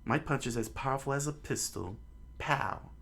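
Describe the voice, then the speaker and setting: A man's voice: mid-range voice, normal speed, vocal fry, fairly bright tone, voice pushed up. A man voicing a brash young boy who wants to be a pirate, boastful and eager.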